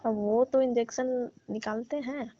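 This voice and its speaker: A woman's voice: fairly high pitch, steady tone, unhurried, dark tone, dry recording. Woman coaxing a caller playfully on the phone. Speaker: caller posing as patient. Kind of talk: staged funny phone call. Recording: muffled phone call recording.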